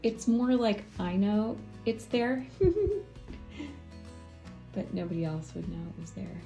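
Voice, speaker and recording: Woman chatting informally, gravelly edge, roomy sound. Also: medium pitch, normal speed, dark tone. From Japan. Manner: informal, musing aloud. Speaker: photographer presenting a studio video. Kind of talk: casual chatty vlog. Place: indoor studio. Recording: roomy, distant mic, music behind.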